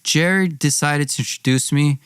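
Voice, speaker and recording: A man's voice: a little high, normal speed, clear, resonant tone, no echo, clean studio sound. A young man hosting a podcast, telling a personal story dramatically in an informal, wry way, acting out dialogue. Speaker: podcast host.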